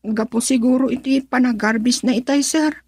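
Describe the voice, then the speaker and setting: Casting: high-pitched young student girl, courteous and burdened, Ilocano radio drama, Filipino accent. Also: steady tone, moderate pace, darkish tone, breathy edge, dry recording. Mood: worried, polite. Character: polite, troubled young female student raised by grandfather.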